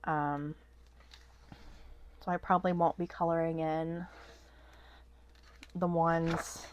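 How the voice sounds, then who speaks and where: A woman's voice: low-pitched, little pitch movement, slow pace, a little hiss or hum. A woman with a stuffy, nasal voice from a cold, chatting casually at her desk as a vlog host, rambling with lots of ums and restarts. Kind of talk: casual haul vlog chat. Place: at a home desk.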